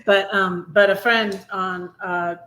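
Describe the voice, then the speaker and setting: A woman hosting a casual live chat, telling personal stories in a halting, rambling way. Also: mid-range voice, fairly even intonation, bright, forceful tone, poor audio. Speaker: live stream host.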